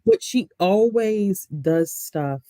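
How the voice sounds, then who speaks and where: A woman's voice: medium pitch, animated, takes it slowly, a crowd in the background. A woman co-hosting a casual book discussion, talking in an emphatic, conversational way. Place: online group video call.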